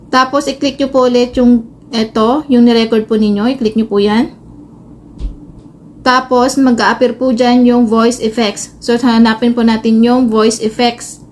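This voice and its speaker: A woman's voice: on the high side, level tone, a little slow, a little mellow. A Filipino woman giving a casual step-by-step tutorial, explaining informally with some hesitation. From Philippines. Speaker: tutorial host, vlogger. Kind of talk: casual spoken phone tutorial. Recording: noisy amateur phone recording.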